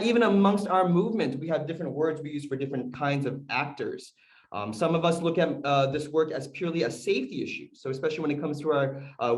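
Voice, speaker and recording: A man's voice: a little high, darkish tone, low-quality recording. A man whose first language is Korean, a community organizer giving a talk to an audience on a video call, speaking conversationally, asking questions, with frequent fillers. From United States.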